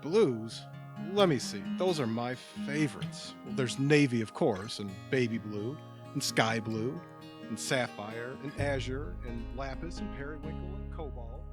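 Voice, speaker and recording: A man acting in audio theatre as a kindly, patient stranger, speaking in a gentle, reassuring manner. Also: medium pitch, a little slow, music in the background, natural voice. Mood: wistful, tender. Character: kindly, patient male stranger waiting for a train.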